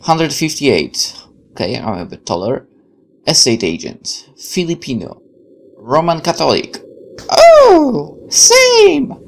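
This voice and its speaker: A man in his twenties reading game text aloud with excitable, chatty asides and some stumbles. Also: on the high side, takes it slowly, creaky. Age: 20s. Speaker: gaming video host. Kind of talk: game let's play, reading aloud and reacting. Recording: faint background noise.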